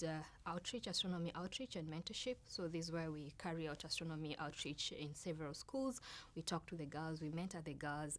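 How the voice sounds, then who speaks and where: A woman with a Kenyan accent explaining her work in an interview, halting and deliberate with pauses. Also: sits low. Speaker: astronomer and outreach project leader. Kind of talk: interview talk about own work.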